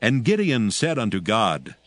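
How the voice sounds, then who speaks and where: A man narrating scripture from a script in a solemn, measured manner. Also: on the low side, huge pitch swings, no room sound. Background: music.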